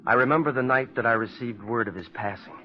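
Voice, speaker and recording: A man's voice: mid-range voice, natural voice. An actor playing a haunted man who tells of his family curse, earnest and dramatic in 1940s radio style. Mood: somber. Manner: earnest, dramatic, deliberate storytelling. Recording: muffled old radio recording with music.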